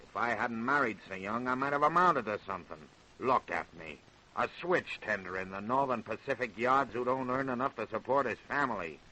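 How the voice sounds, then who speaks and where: A man acts a stubborn, embittered working-class American father. The voice is medium in pitch and hard-edged, in a vintage radio drama recording. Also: fairly quick, pushed and bright, a little hiss or hum, close to an everyday voice, rough recording. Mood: bitter. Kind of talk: 1930s radio drama acting.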